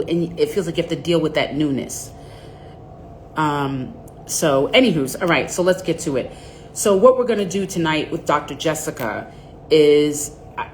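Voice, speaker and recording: A woman's voice: low-pitched, darkish tone, a little hiss or hum, poor audio. A woman in her forties with a nasal voice, hosting a live stream in chatty, rambling talk and greeting viewers by name. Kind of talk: casual live-stream chat.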